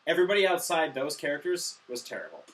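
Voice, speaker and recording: A man's voice: a little high, big pitch swings, fast talker, recorded from a distance, a loud crowd. A man co-hosting a casual, opinionated movie review with blunt, argumentative banter, recorded a little away from the mic. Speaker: movie review show co-host.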